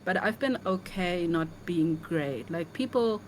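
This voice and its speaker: Giggly woman guest, soft faint hiss. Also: fairly low pitch, expressive, measured pace, rough recording. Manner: friendly, giggly, soft-spoken. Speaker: guest singer. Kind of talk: casual interview chat.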